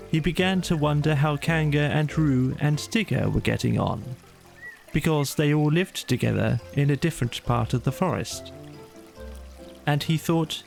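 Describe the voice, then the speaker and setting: A man narrating a children's bedtime story in a slow, soothing way. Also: fairly high pitch, gravelly. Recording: soft music behind.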